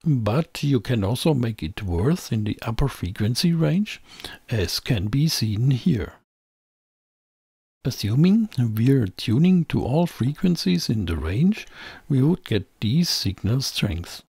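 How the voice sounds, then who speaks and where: Husky, creaky man narrating a technical lesson. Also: medium pitch, sing-song, slow, a little mellow, no echo, studio quality. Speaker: presenter of an electronics tutorial channel.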